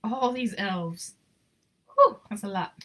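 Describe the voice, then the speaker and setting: A woman's voice: medium pitch, slow, fairly bright tone. A woman reading a children's picture book aloud with dramatic, emphatic phrasing. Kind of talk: expressive children's read-aloud with casual asides.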